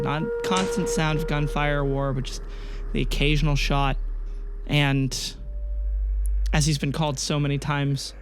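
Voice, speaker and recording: A man narrating scenes as game master, speaking in a slow, measured way with many pauses. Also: medium pitch. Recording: loud music bed.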